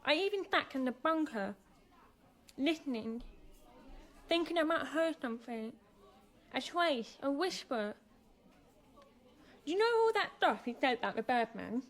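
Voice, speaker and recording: A woman's voice: sits high, lively intonation, measured pace, long pauses. An actress playing a stubborn, sullen deaf teenage girl in a naturalistic radio drama, speaking bluntly. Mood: searching. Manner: blunt, terse.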